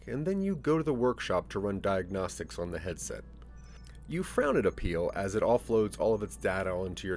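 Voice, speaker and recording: A man narrating a scripted second-person story for an interactive audio drama. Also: fairly low pitch.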